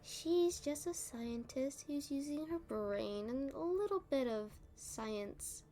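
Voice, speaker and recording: Slow, sassy supervillainess, played by a woman. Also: on the high side, mellow. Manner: teasing, condescending, drawn-out delivery. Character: playful sassy female supervillain, smug and taunting. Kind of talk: ASMR roleplay monologue.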